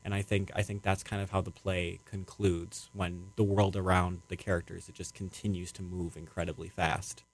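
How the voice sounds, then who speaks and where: A man's voice: sits low, level tone, moderate pace, hardly any pauses, rough recording. A young man answering interview questions as a playwright, casually, in a hesitant, rambling and reflective way.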